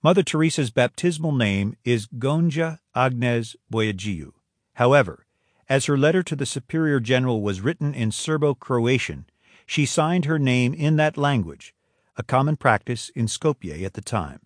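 A man narrating a documentary in a measured, scripted style. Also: medium pitch, expressive, no room sound, clean studio sound.

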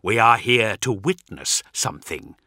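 A man voicing a cryptic, grandiose dark apostle warrior in an audiobook, portentous and slow, at times breathy. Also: fairly low pitch, lively intonation.

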